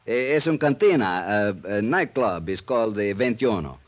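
Man doing a helper, halting broken English, lowish voice, old radio drama. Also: animated, natural voice. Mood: eager. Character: Mexican police helper, eager, broken English. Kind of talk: 1950s radio drama acting.

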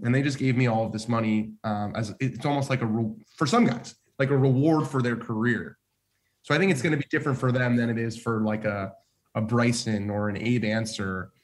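A man on a golf panel, rambling through his thoughts and trailing off quietly. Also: mid-range voice. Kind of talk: casual panel discussion.

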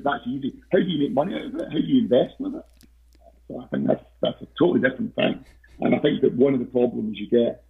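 A man as a podcast guest in casual interview talk, hesitant with many fillers and restarts, his voice croaky. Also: mid-range voice. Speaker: podcast guest, investment researcher. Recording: tinny phone-like line.

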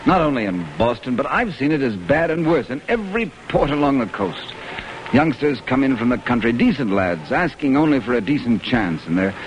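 A man as fatherly sea captain, expressive delivery. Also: mid-range voice, lo-fi sound, natural voice. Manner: fatherly, oratorical. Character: kindly, righteous middle-aged ship's captain, protective and grave. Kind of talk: 1940s radio acting. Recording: old radio recording, faint noise.

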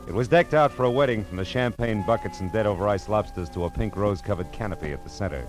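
A man's voice: lowish voice, fast talker, natural voice. A man with an American accent playing a tough, wry private detective in a 1940s radio crime drama, clipped and hard-boiled. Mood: sardonic narration. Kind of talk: 1940s radio crime drama acting, first-person narration. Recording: vintage radio recording with music behind.

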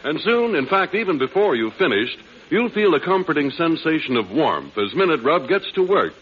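A man working as a radio announcer, delivering a polished, courteous 1940s advertising pitch. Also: very animated, moderate pace. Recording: muffled, noisy old 1940s radio broadcast.

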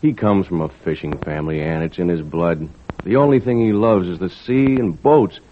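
Actor as low-voiced, steady fisherman. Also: normal speed, natural voice. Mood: grave. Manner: earnest, plain-spoken. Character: steady, fair-minded fisherman, fatherly to a boy. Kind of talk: 1940s radio acting. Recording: noisy, narrow-band 1940s radio recording.

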